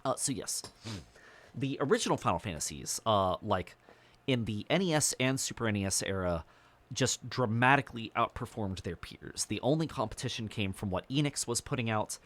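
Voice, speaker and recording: A man's voice: lowish voice, lively intonation, moderate pace, a bit bright. A man streaming a video game, chatting casually and swearing freely as he talks about it. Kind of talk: casual let's-play commentary. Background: game music.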